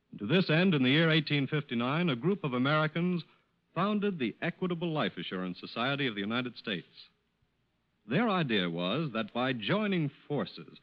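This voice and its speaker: A man with a medium-pitched, strongly animated voice, delivering a scripted 1940s radio announcement. His manner is grand and oratorical, and the recording sounds muffled. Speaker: radio announcer reading sponsor message.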